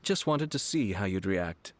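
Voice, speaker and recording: An actor playing a refined young nobleman in a video game, courteous, composed and slyly teasing, with formal, measured speech. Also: sits low, expressive, a little hiss or hum. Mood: playful, teasing. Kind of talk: scripted game character voice lines. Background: background music.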